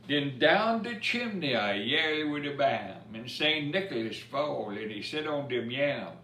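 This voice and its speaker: A man reading a children's picture book of Cajun dialect verse aloud, telling it expressively with asides about the pictures. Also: mid-range voice. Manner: expressive storytelling, pausing to point out pictures. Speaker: story time reader. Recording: roomy, distant mic with faint noise.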